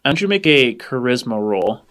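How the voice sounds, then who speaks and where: A man narrating an improvised tabletop game as game master, descriptive and halting. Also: medium pitch, very animated, fairly bright tone, soft background music.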